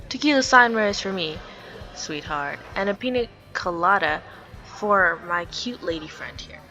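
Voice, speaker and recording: Smug, flirty barfly charmer played by a woman, teasing drawl, bright tone, visual novel game character. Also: on the low side, expressive, measured pace, lo-fi sound, faint music behind. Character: smug, flirty, teasing male bar regular.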